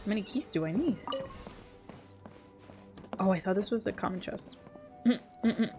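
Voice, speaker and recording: A woman's voice: medium pitch, normal speed. A young woman giving casual, chatty, slangy reaction commentary as she plays a video game. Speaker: gaming streamer. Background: game theme music.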